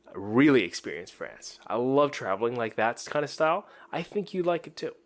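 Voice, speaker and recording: A man from China with a medium-pitched voice talks casually on a podcast. He speaks in anecdotes and repeats key words for emphasis. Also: sing-song, fairly quick. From born in China. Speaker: podcast participant.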